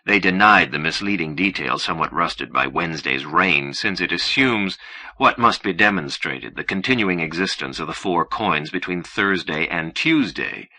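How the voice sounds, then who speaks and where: Guy narrating a literary audiobook, really low voice, lively pitch swings, measured and formal. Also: dry recording. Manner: measured, formal, precise reading. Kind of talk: scripted narration of literary fiction.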